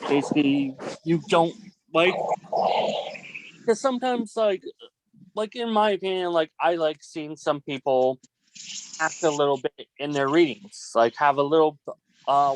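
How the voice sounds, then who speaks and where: Higher-pitched man in halting casual discussion. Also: no room sound, rough recording. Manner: halting, repetitive, heavy use of "like". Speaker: film production student in a group meeting.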